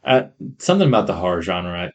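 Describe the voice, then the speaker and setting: Low-voiced rambling man chatting casually. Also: sing-song, fast. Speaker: podcast co-host. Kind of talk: casual chat. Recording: rough audio from a faulty podcast microphone.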